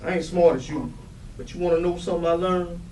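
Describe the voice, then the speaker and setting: An actor in a staged play reading, playing a weary, protective older brother who is quietly resolute and plain-spoken. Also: male voice, high voice, quick pace, lightly acted. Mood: earnest, resolute. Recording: roomy, distant mic, faint background noise.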